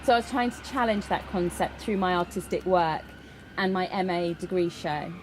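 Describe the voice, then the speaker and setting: Woman interpreting over clear background noise. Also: on the low side, normal speed. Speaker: interpreter voicing a Deaf signer. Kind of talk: live spoken interpretation of a personal statement.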